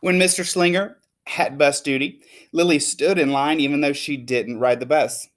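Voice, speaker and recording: A man who works as a teacher, reading a children's story aloud in an expressive, emphatic way. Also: on the high side, moderate pace. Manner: animated, emphatic.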